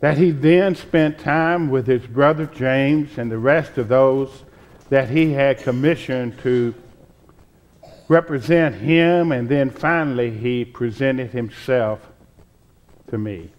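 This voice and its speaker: An older man in his sixties reads scripture aloud as a pastor. His pace is very unhurried and his pitch sits in the middle range. He phrases solemnly and leaves pauses between thoughts. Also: muffled recording. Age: 60s. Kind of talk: measured pulpit scripture reading. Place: church sanctuary, at the pulpit.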